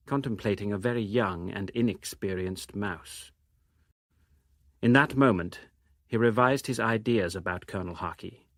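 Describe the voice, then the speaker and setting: A man gives a measured, formal dramatic reading of literary narration. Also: on the low side, no echo, clean studio sound. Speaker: reader of novel extracts.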